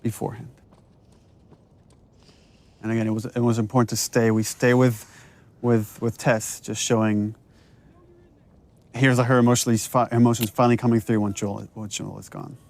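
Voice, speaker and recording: A man's voice: mid-range voice, little pitch movement, normal speed, gravelly, long pauses, a little mellow. A man giving casual, enthusiastic director commentary in a conversational way. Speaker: game director on a commentary track.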